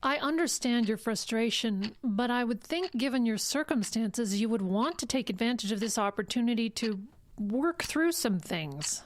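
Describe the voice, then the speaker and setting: A dry, close-recorded female voice, fairly high, an actress playing a court psychologist in an audio drama. She is formal, measured and patient. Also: expressive, no echo, natural voice. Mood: measured, firm.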